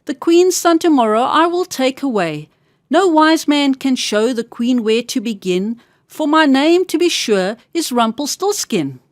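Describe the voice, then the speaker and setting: A woman's voice: high voice, expressive, unhurried, no echo, studio quality. A woman voicing a gleeful, demanding little old magical man in a storybook tale, teasing and insistent as he bargains.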